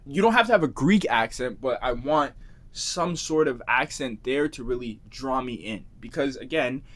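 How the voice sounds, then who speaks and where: A man's voice: medium pitch, animated, normal speed, fairly bright tone. Male podcast co-host, casual movie chat, enthusiastic and rambling, heavy on fillers.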